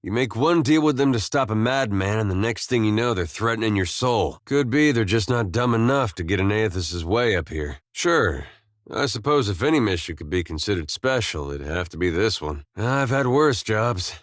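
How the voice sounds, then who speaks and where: A low male voice, recorded close and dry, an actor in character as a video game farmer turned adventurer. He is easygoing and plain-spoken, with folksy phrasing. His humor is wry and understated. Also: animated, normal speed, no echo, natural voice. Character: laid-back, wry farmer turned adventurer, good-natured young-to-middle-aged man. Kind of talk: scripted video game dialogue.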